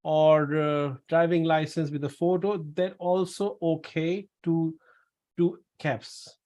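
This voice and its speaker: A man's voice: fairly high pitch, level tone, takes it slowly, darkish tone. A man hosting a pharmacy webinar over a video call, chatty and repeating his words as he tells anecdotes. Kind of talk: casual webinar Q&A.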